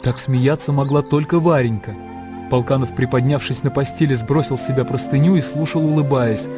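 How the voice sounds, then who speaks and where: Мужчина читает литературное повествование от автора в радиоспектакле. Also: male voice, mid-range voice, fairly even intonation, a little fast, music in the background. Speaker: narrator. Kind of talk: scripted literary narration in radio drama.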